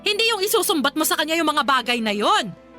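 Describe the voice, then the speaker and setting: A woman's voice: high voice, big pitch swings, quick pace, bright, forceful tone, soft background music, full character voice. A woman playing an angry, overbearing older Filipino mother who nags and scolds sarcastically in a radio drama. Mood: indignant, scolding. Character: angry, overbearing, nagging mother of a grown son.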